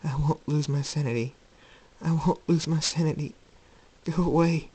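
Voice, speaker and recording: A man's voice: fairly high pitch, fairly even intonation, normal speed, mellow, breathy edge, distant mic, pitched above the performer's own voice. A man voicing a tormented, defiant male hero who is losing his mind, with strained delivery, in a story reading. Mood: desperate.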